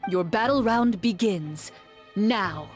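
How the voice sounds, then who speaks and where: A woman's voice: medium pitch, slow, music in the background. A woman reading a game's story aloud as dramatic narration.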